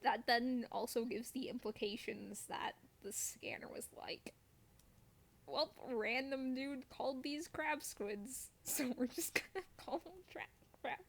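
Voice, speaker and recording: A woman's voice: high-pitched, takes it slowly, gravelly. A woman streamer chatting casually over gameplay in a playful, wryly self-deprecating way.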